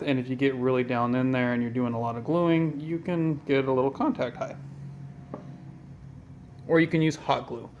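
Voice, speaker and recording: A man walking through craft materials in a casual, low-key tutorial, with a plain, even voice and a meandering delivery full of ums. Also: a little hiss or hum. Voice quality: flat, even voice. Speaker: hobby tutorial maker.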